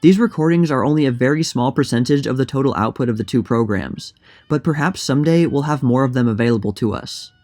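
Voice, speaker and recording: A man narrates a scripted documentary in a middle-pitched voice. His delivery is plain and informative, like a channel creator explaining his research. Music plays behind him. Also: quick pace, a little mellow.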